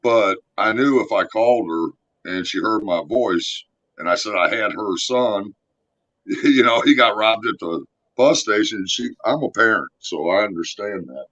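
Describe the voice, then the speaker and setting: Deep-voiced man on a dull dry call. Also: expressive, a bit bright, dry recording. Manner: earnest, storytelling, halting restarts. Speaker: guest giving testimony. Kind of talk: casual chat on a live stream. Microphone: video call. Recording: dry, dull lo-fi stream audio.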